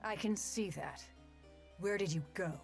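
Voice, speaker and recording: A woman's voice: medium pitch, measured pace, creaky. A stern woman mayor from a visual novel. She interrogates coldly and sharply, with no pretense of small talk, in a mid-range voice. Music plays behind her. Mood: cold suspicion. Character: stern suspicious woman mayor, cold and probing.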